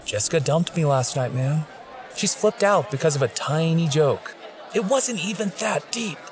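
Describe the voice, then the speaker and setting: A man's voice: fairly high pitch, animated, moderate pace, some chatter behind, lightly acted. A man playing a cocky, defensive young college jokester in an audio drama, with slangy, indignant delivery. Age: young adult.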